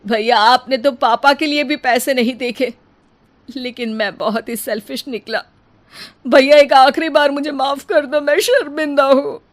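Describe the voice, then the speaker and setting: Woman as high-voiced, pleading younger brother. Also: unhurried. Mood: remorseful. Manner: pleading, earnest. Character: guilt-ridden, pleading younger brother in trouble. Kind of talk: acted dialogue in a cartoon moral story.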